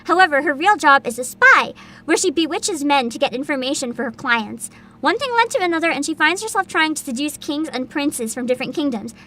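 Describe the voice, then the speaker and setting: A woman chatting casually as a livestream host, excitable and giggly, with dramatic emphasis. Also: high-pitched, brisk.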